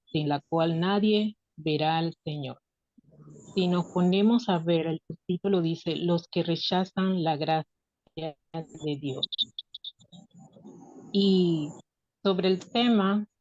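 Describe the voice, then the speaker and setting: A woman preaching and reading scripture in a halting way, pausing between short phrases. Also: on the low side, darkish tone. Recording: dull, muffled lo-fi audio.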